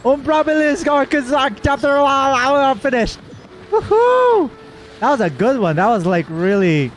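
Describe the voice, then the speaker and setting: A man hosting a game stream, chatting casually and playfully, given to excited exclamations and rambling asides. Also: very high-pitched, a little slow, slight background noise. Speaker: streamer and host. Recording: music playing behind the voice. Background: game music.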